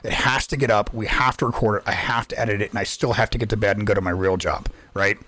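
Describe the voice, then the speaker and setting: A man co-hosting a podcast in casual chat, hoarse from losing his voice, rambling with frequent restarts. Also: fairly low pitch, fairly even intonation, fast talker, bright, forceful tone, slight background noise. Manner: rambling, lots of restarts and fillers. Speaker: podcast co-host.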